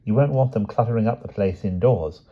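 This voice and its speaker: A male narrator voicing a calm, composed young woman with a dry, wry way of talking. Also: fairly low pitch, a little fast, lo-fi sound, pitched above the performer's own voice, close to an everyday voice.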